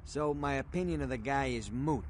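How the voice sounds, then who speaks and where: A man's voice: mid-range voice, normal speed, a little mellow, lightly acted. Man playing a world-weary gun dealer, American accent, terse, street-wise, game audio, faint noise. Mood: matter-of-fact, guarded. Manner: terse, matter-of-fact.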